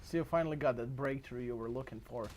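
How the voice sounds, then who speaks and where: A man's voice: mid-range voice, quick pace, darkish tone. A man hosts a casual gaming podcast interview with friendly curiosity, laughing easily. His is a little broken. A faint hiss sits behind his voice. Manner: friendly, laughs often, slightly broken English. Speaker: podcast host.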